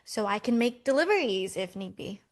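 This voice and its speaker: A woman's voice: medium pitch, expressive, normal speed, lightly acted. A woman playing an upbeat, eager, persuasive Millennial twin in a romcom table read.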